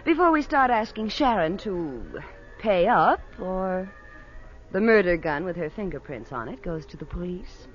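Casting: cold female schemer in 1940s radio crime, coaxing, very animated, on dull vintage audio. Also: fairly low pitch, a little slow, soft background music. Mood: smug, taunting. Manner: coaxing, calls people darling and honey. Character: cold, scheming blackmailer woman, sweetly manipulative. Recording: muffled old radio recording.

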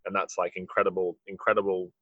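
A musician with a husky voice, answering interview questions in a rambling way, full of "like". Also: male voice, low voice, little pitch movement, quick pace, dry recording, dull audio. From Australia. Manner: rambling, heavy use of "like". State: husky from late rehearsal. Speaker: musician in a band.

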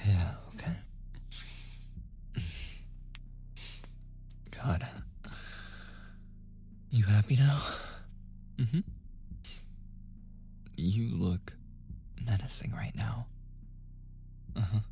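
A man playing a flustered, submissive young boyfriend in a soft-spoken ASMR roleplay, stammering and sighing, often slipping into whispers. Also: deep voice, slow, pauses often, soft background music. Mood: sulky, defiant. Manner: stammering, sighing, breathy asides, protesting. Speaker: audio roleplay voice performer.

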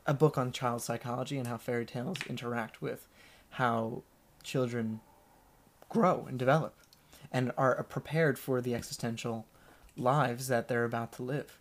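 A man talking casually as a podcast guest, relaxed and hesitant, with lots of fillers and restarts. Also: mid-range voice, normal speed. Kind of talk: casual conversation.

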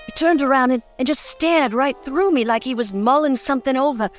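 An actress playing an anxious, genteel wife in an old-time radio melodrama, hesitant and plaintive. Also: female voice, high-pitched, a little fast, faint music behind, close to an everyday voice. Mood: uneasy, hesitant.

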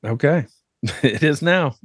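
A man's voice: fairly high pitch, lively intonation, slow pace, a bit bright, breathy edge, dry recording. A man hosting a podcast, interviewing a guest and chatting with his co-host in an upbeat, chatty way.

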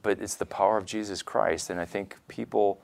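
A man's voice: on the low side, fairly even intonation, fairly quick, creaky, darkish tone. A man hosting a podcast, talking conversationally with his guest in an interview. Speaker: podcast host.

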